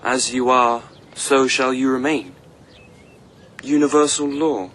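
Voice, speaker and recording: Slow-spoken, idealistic young master. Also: male voice, medium pitch, steady tone, a bit bright, a little hiss or hum. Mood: solemn. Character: solemn, idealistic young master resolved on change. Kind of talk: radio drama acting.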